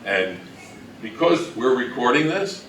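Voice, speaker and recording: A man's voice: mid-range voice, very animated, unhurried, a bit bright, slight background noise. A man giving an informal live presentation to an audience, with a wry, joking way of addressing them. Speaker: presenter at an old-time radio convention talk. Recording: roomy, distant-miked live talk.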